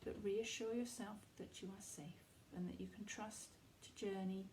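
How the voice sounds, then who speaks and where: A woman leading a guided meditation, giving soft, unhurried instructions in a soothing, reassuring manner with pauses between phrases. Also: mid-range voice, steady tone, a little hiss or hum.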